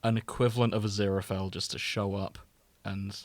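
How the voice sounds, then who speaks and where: A man's voice: lowish voice, little pitch movement, a little fast, dry recording. Man in a dry, close-sounding casual podcast chat. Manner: jokey, often laughing mid-sentence. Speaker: podcast co-host. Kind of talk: casual chat with playful reading aloud of fan fiction.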